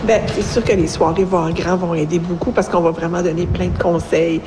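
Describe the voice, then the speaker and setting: A woman's voice: on the low side, steady tone, fairly quick, over music. A woman informally explaining things as an educator who advises parents. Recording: hall reverb, faint background noise.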